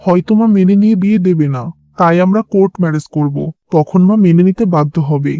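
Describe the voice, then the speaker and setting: A man's voice: sits high, fast talker, mellow, lo-fi sound, natural voice. A man voicing an earnest, pleading young son in love in a cartoon drama, over music. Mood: earnest, determined.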